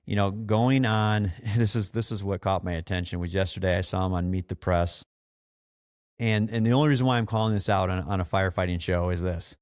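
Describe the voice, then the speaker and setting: A man's voice: on the low side, smooth, no echo, studio quality. An American man hosting a podcast, giving a casual, opinionated rant in a blunt, exasperated manner that includes swearing. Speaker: podcast host.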